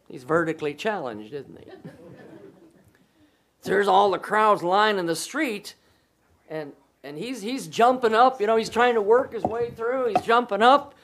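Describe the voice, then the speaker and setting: An older man from Wisconsin preaching to his congregation, with a booming, commanding voice, telling stories and joking and laughing with the people. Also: sharp tone. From Wisconsin, USA. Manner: storytelling, jokes with the congregation, laughs often. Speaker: pastor. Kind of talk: humorous sermon. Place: church hall with congregation.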